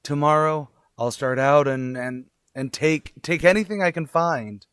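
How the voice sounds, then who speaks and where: A man plays a down-on-his-luck young father in an old-time radio drama. His voice sits fairly high for a man, earnest and halting, and it pleads with real desperation. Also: fairly bright tone, clean tone, dry recording, clean studio sound. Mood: weary, resolved.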